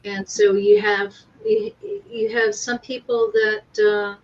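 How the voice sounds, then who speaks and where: A woman's voice: fairly high pitch, very animated, slow pace, vocal fry. A woman speaking casually and conversationally as a guest on an online talk show. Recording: dull, lo-fi call audio.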